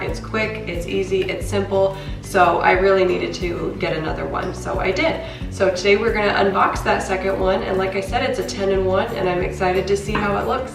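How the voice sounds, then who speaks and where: A woman with a head cold, chatting excitedly as she unboxes a kitchen appliance in her home kitchen for a cooking vlog. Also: mid-range voice, creaky, recorded from a distance. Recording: echoey room, off-mic, music underneath.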